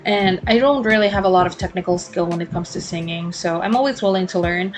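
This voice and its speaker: A woman's voice: fairly low pitch, fast, soft background music. A young woman, hosting a casual solo podcast, talking in a rambling, reflective way with many fillers and self-corrections. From Philippines. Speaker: podcast host.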